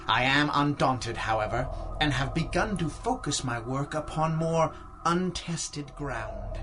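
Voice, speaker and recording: An actor playing an obsessed, ruthless mad scientist dictating notes in a 1930s-style radio drama, pompous and deliberate. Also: male voice, on the high side. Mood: undaunted, brooding. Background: prominent music.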